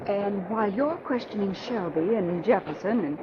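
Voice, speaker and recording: An actress playing a businesslike young news photographer going over a plan, in an old radio drama. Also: female voice, mid-range voice, lively intonation, moderate pace, lightly acted. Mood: briefing. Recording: dull lo-fi old radio recording with noise.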